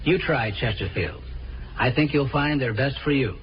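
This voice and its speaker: A man reads a scripted testimonial for a 1950s radio ad. His voice is medium in pitch. The old recording is muffled and faintly noisy. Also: normal speed. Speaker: testimonial speaker in a commercial.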